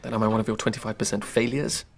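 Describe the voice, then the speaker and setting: A troubled young English gentleman in a radio drama. He is halting, clipped and guarded, with faint noise behind him. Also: lowish voice, steady tone, gravelly. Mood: distressed.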